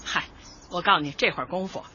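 A woman's voice: fairly low pitch, fast talker, sharp tone, lo-fi sound, slight background noise. An actress playing a bold, hearty middle-aged neighbourhood woman with a Northeastern Chinese accent in a radio comedy, folksy and forthright. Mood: cheerful. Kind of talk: radio comedy drama acting.

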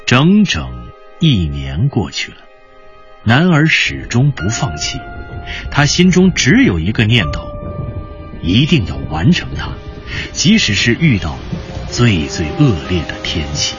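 A man narrating a scripted story with deliberate, dramatic phrasing, as in a radio drama. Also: fairly low pitch, wildly swooping pitch, dull audio. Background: music.

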